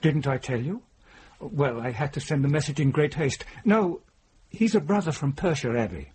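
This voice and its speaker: An actor playing a kindly, concerned and pious priory head in a medieval radio drama. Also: male voice, on the high side, animated, moderate pace, darkish tone, a little hiss or hum, close to an everyday voice. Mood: earnest. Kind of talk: period radio drama acting.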